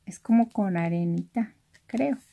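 A woman's voice: mid-range voice, a little slow, creaky. A young woman with a Mexican accent chatting casually as she shows craft items, keeping her voice down. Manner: soft-spoken, keeping voice down because others are sleeping. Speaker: craft vlogger. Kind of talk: casual chat, narrating an unboxing. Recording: noisy room with handling rustle and crinkling. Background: clicks, crumpling and crinkling of packaging.

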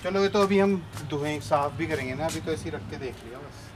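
A man's voice: on the high side, a little fast. A young man co-hosting a casual vlog, bantering and teasing with playful film-style lines on an open rooftop terrace. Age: young adult. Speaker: co-host. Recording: noisy outdoor ambience, off-mic.

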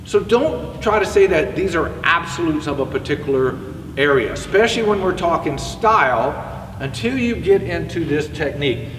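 Baseball coach in an echoey indoor cage. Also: male voice, high voice, fairly bright tone, slight background noise. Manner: conversational, demonstrating with a bat while he talks. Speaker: hitting instructor. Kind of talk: spoken coaching lesson to camera. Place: indoor batting cage with netting. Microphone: far camera. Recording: echoey indoor facility, distant mic.

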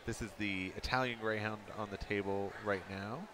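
Deep-voiced man commentating with crowd noise. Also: moderate pace. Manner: relaxed, self-deprecating joking. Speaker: dog show commentator. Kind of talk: live event commentary.

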